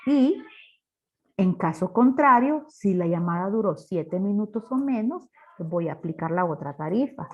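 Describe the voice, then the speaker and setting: A woman's voice: mid-range voice, animated, unhurried. Lady teacher walking thru code step by step, asks questions, muffled lo-fi recording. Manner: didactic, asks rhetorical questions. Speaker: programming teacher. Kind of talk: live class explanation, step by step. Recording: dull lo-fi online class audio.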